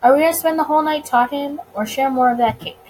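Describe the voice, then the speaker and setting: A woman's voice: sits high, steady tone, fast, a little mellow, a little hiss or hum, natural voice, poor audio. A woman voicing a flustered, eager male detective in a visual novel, speaking casually and bluntly.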